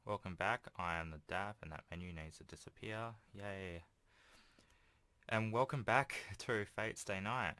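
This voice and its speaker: A man's voice: on the low side, unhurried, bright, forceful tone, dry recording. A young man doing casual let's-play commentary and reading game text aloud. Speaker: gaming YouTuber doing a playthrough. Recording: home recording with game music behind. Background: video game music.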